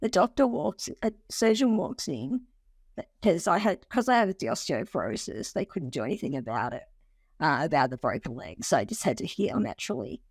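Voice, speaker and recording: A woman's voice: low voice, moderate pace, breathy edge, dry recording. Chatty woman in her forties, dry sound. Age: 40s. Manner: animated storyteller who laughs often and restarts sentences. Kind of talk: casual conversational interview.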